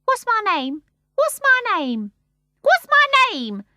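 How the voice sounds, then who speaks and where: A woman voicing a cheeky, boisterous male starling with a shrill, jeering voice and a loud, perky manner. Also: sing-song, normal speed, dry recording, clean studio sound, full character voice. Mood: blustering.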